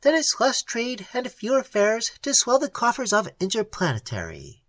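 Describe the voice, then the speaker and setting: A woman's voice: on the high side, wildly swooping pitch, normal speed, no echo, pitched above the performer's own voice. A reader voicing a fierce, vengeful young woman pirate captain who speaks in a sarcastic, blazing manner, in an audiobook. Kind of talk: audiobook dialogue.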